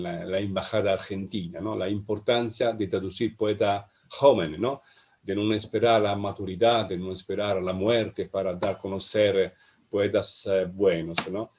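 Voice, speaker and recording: Italian-speaking man asking a halting question. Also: lowish voice, darkish tone. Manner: halting, mixing Italian into Spanish, frequent tag questions. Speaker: translator and moderator. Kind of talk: poetry reading and interview question.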